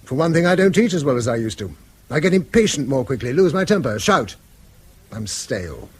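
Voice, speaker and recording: Husky, weary British schoolmaster. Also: male voice, mid-range voice, very animated, fairly quick. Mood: weary, despairing. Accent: British English. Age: middle-aged. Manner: eloquent, rhetorical, self-reproaching. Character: weary, bitter middle-aged schoolmaster and father. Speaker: actor. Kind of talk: British radio drama acting.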